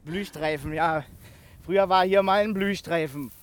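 An actress playing a brisk, bossy village organiser in a radio play. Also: female voice, fairly low pitch, lightly acted. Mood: brisk, persuasive. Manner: commanding, colloquial. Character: brisk bossy village woman organising a scam, later guiding press. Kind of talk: naturalistic radio play acting.

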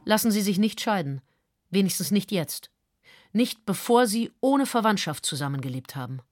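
A woman's voice: medium pitch, expressive, normal speed, dry recording, lightly acted. An actress playing a stern female psychiatrist who reads a firm, admonishing letter to her patient.

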